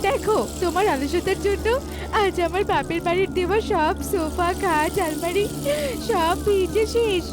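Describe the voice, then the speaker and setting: A woman voicing a sharp-tongued, scolding crow wife in a children's cartoon, nagging and sarcastic. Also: very high-pitched, moderate pace. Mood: furious, sarcastic. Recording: music behind the voice.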